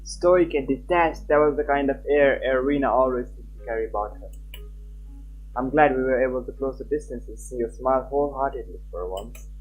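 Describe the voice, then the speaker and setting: Husky-voiced man streaming over game music. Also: mid-range voice, narrow, tinny audio. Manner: halting, stumbles and repeats words while reading. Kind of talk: live game stream, reading a visual novel aloud and chatting.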